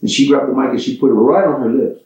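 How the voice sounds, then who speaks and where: A male DJ rattles off a story at speed, acting out each part. His tone is mellow, and he sounds far from the mic in a dull, very echoey room. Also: mid-range voice. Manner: fast storyteller, acts out dialogue. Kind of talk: casual podcast chat.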